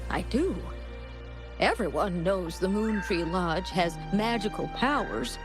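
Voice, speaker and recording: A woman acting a gentle, grieving old mother in a video game, polite and wistful in an unhurried way. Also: mid-range voice, lively intonation. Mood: wistful, hesitant. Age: older. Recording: game music behind the voice.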